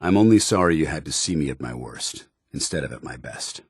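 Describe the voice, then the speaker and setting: Very deep, stern military paladin. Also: male voice, expressive, slightly rough, dry recording, clean studio sound, heavily acted. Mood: earnest, guarded. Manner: formal, measured military diction. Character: stern, dutiful, earnest armored military paladin, male soldier. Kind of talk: video game voice acting, companion dialogue.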